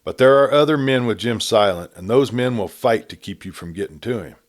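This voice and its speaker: A man's voice: mid-range voice, fairly quick, natural voice. A man voicing an earnest, persuasive western lawman in an audiobook, explaining things carefully and emphatically. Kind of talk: audiobook character voice.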